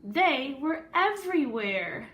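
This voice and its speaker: An earnest, weary good egg tells his storybook tale, as a woman reader puts him on. The voice is a little high and takes its time, with deliberate, dramatic phrasing over faint background noise. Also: sing-song, fairly bright tone, full character voice.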